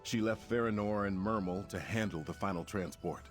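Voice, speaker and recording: An actor playing a formal, measured prince and leader in a video game. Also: male voice, fairly low pitch, faint music behind, close to an everyday voice. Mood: grave briefing. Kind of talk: scripted game character dialogue.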